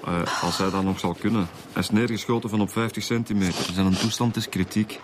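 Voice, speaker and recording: A man's voice: on the low side, steady tone, brisk, close to an everyday voice. A man playing a wry, easygoing police detective in a radio drama, colloquial and matter-of-fact, with a Flemish accent. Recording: clear background noise and ambience.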